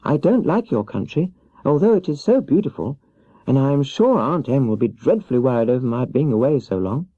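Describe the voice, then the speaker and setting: A man's voice: medium pitch, expressive, fairly quick, dark tone, dry recording, voice pushed up, close to an everyday voice. A man voicing a small, meek, earnest little girl in a children's storybook reading. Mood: pleading. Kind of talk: children's audiobook character voice. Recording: dull 1970s cassette audiobook.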